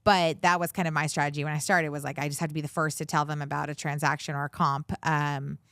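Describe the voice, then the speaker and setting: A woman's voice: deep voice, level tone, fast, fairly bright tone, no room sound, studio quality. A woman in her forties, a guest in an interview, talking casually with lots of fillers and restarts. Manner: chatty, many fillers and restarts. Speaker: guest, commercial real estate director.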